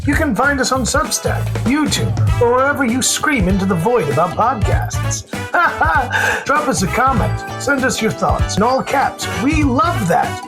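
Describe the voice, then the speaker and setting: A woman's voice: fairly high pitch, sing-song, a little slow. A woman co-hosting a podcast, reading a scripted sign-off in a playful, theatrical manner. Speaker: podcast co-host. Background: music.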